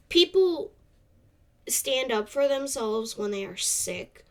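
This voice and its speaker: Slow, congested young woman podcaster. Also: on the high side. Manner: slow, halting and soft-spoken, trailing off. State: congested from a cold. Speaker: podcast host. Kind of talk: solo podcast monologue. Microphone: camera off to the side.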